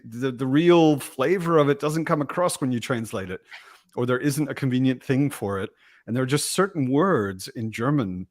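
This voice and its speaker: A man hosting a casual book chat, hesitant and repeating words as he searches for them. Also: mid-range voice. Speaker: podcast host.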